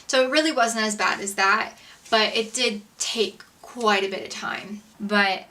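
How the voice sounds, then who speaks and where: A woman's voice: mid-range voice, a little slow, sharp tone. A young American woman telling a personal story casually to camera, chatty and informal, like a vlogger. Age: young adult. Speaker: vlogger and singer-songwriter. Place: indoors, makeshift home filming setup. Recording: distant mic, roomy home video, background noise.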